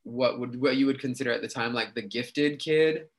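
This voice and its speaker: A talkative young man, speaking at an ordinary middle pitch. He chats loosely as an interview guest, piling on fillers and quick asides. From New York City. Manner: talkative, chatty with frequent fillers. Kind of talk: casual interview chat.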